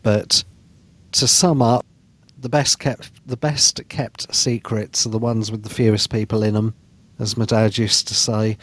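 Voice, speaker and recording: A man on a podcast panel, giving measured commentary in formal, precise wording. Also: lowish voice, little pitch movement, fairly bright tone.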